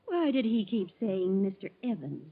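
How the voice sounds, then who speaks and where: An actress playing a suspicious, principled fiancée in a 1940s radio drama. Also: female voice, medium pitch, sing-song, moderate pace, gravelly, background noise. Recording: tinny, band-limited 1940s radio recording.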